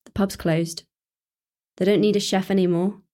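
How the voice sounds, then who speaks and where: A woman's voice: mid-range voice, fairly even intonation, moderate pace, dark tone, lightly acted. A woman with a British accent playing an anxious, sensible teenage daughter in a naturalistic radio play, earnest and cautious. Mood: worried.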